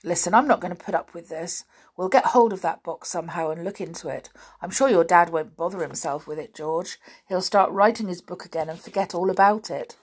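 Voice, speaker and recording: A woman voicing a sensible, determined boy in a story, speaking in a measured and resolute way. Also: fairly low pitch, slightly rough.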